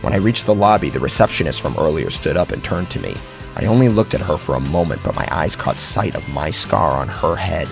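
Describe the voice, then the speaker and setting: A man's voice: rumbling low, expressive, brisk, gravelly, music in the background. A man narrating a first-person horror story in a theatrical, suspenseful way.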